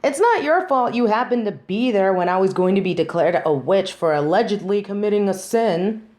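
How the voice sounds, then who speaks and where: A woman's voice: mid-range voice, expressive, normal speed, darkish tone, close to an everyday voice. A woman playing a snobby, haughty fallen angel who is secretly devoted, speaking wry, formal lines in an intimate audio roleplay. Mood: wry, reminiscing. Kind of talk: scripted audio roleplay, intimate monologue to one listener.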